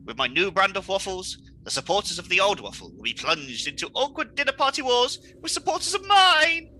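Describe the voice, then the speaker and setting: Piercing, theatrical comic supervillain. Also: male voice, high-pitched, sing-song, a little fast, sharp tone, slight background noise, exaggerated voice, poor audio. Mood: gleeful, scheming. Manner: theatrical, mocking, pompous. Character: gleeful, scheming melodramatic male supervillain, Mister Munge. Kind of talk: comedic radio play acting.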